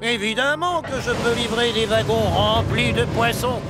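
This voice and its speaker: A man's voice: extremely high pitch, fairly even intonation, moderate pace. A man voicing a proud, boastful big male engine in a cartoon, talking in a pompous way. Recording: cartoon dub with music behind.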